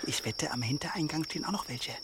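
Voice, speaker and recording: An actor in a German radio drama playing a bold, pot-bellied medieval assassin who talks in a hushed, commanding, conspiratorial way. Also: male voice, on the high side, quick pace, background noise, natural voice.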